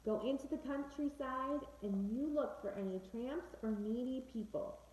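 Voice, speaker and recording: Woman reading as a generous rich man, mellow, echoey. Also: high-pitched, moderate pace, natural voice. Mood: welcoming, firm. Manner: instructing, declarative. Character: rich man in a storybook parable, commanding and generous. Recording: echoey room with faint background noise.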